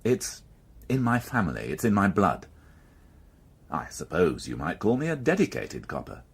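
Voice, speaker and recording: An actor playing a stubborn, middle-aged veteran police inspector in a radio drama, dry, grumbling and sardonic. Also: male voice, low voice, wildly swooping pitch, normal speed, gravelly, slightly rough, exaggerated voice. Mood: indignant, firm. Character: world-weary, stubborn veteran male police inspector, dryly sarcastic.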